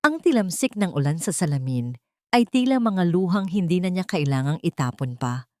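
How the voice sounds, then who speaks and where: A woman narrates an audio drama with solemn, measured delivery. Her pitch sits a little low, and the sound is dry, with no room echo. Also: sing-song, mellow. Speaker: storyteller, narrator.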